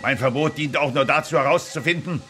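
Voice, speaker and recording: A man playing a blustering, hot-tempered general in an audio drama, gruff and pompous. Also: on the high side, a little fast, sharp tone. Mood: stern, pompous. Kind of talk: German children's audio drama.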